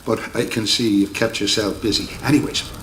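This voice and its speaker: An actor playing a caring, gruff Irish priest in a video game, folksy and wry as he fusses and scolds. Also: fairly low pitch, steady tone, moderate pace, a bit bright, echoey room, slight background noise, natural voice. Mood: wry, reassuring. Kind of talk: video game cutscene acting.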